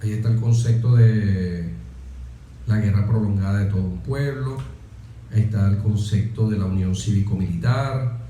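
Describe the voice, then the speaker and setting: A man's voice: fairly low pitch, normal speed, warm tone, echoey room, a little hiss or hum. A middle-aged Venezuelan man delivering a patriotic political monologue on a talk show, emphatic and oratorical, using repetition and rhetorical questions. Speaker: radio host and former soldier. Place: radio studio.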